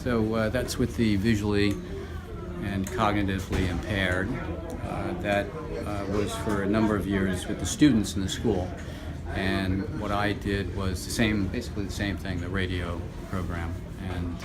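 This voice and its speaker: A middle-aged man being interviewed as a drama teacher, explaining his work informally in halting phrases with many pauses. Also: low voice. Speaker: radio theater teacher and actor being interviewed. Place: room at a senior center. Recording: very noisy room ambience.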